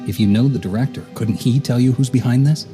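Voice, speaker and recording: A man playing an earnest, resolute heroic knight in a video game, speaking in a measured way. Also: medium pitch, music in the background, natural voice. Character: earnest, resolute male Jedi hero.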